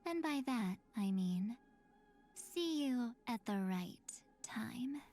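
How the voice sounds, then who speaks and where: An elegant, enigmatic game heroine with a fairly high, mellow voice. She speaks very slowly and intimately, slipping toward breathy softness. Her delivery is composed and mysterious. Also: expressive, no room sound. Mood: soft, enigmatic. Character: mysterious, elegant, soft-spoken young woman of oblivion. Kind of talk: video game character voice lines.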